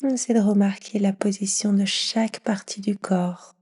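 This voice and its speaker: A woman guides a yoga nidra session in a mellow, husky voice. She keeps her tone very even and soothing, often dropping into a breathy whisper as she teaches. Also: mid-range voice, moderate pace. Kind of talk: guided meditation.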